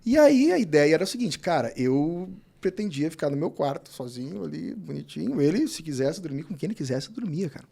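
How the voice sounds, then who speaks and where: A man telling stories in a casual podcast chat, animated and jumping high on stressed words. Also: a little fast, vocal fry, few pauses, slightly rough.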